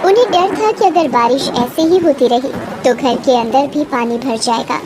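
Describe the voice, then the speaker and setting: High-pitched woman narrating a story. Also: normal speed, heavy background noise. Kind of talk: scripted dramatic story narration.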